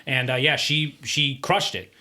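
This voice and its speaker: A man's voice: mid-range voice, unhurried. A man hosting a casual podcast chat, talking loosely with lots of "like" and "right?" fillers. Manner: relaxed, many "like" and "right?" fillers. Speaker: podcast host.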